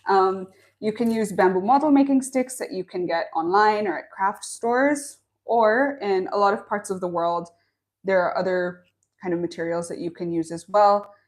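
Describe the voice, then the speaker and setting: Young woman, live-stream host, friendly and chatty, mid-pitch, small office. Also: normal speed. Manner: chatty, upbeat, reads chat comments aloud. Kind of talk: friendly live-stream introduction and craft tutorial. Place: small office at a bamboo factory.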